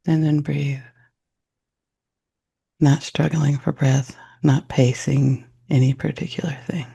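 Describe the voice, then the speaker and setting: A man leading a guided meditation in a soft, unhurried, reflective way, with long pauses and a gravelly, husky voice. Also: fairly high pitch, flat delivery.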